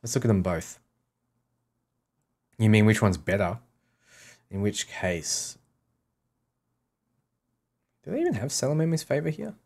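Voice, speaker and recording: A man's voice: on the low side, sing-song, measured pace, gravelly, pauses often, darkish tone. A man streaming a game, casually reading out questions and answering them offhand in short, repeated sentences. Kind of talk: casual livestream Q&A.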